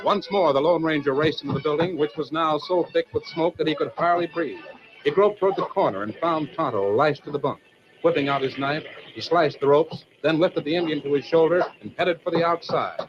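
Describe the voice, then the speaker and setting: A man's voice: on the high side, a little fast. A man giving suspenseful, declamatory scripted narration in the style of an old-time radio serial. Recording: dull vintage radio, music behind.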